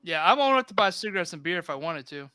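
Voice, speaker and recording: A man's voice: sits high, big pitch swings, rapid-fire, bright, forceful tone, smooth, dry recording. A man in his thirties hosting a casual livestream, rambling in a laid-back way and reading chat comments aloud. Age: 30s. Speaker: host of a diorama livestream.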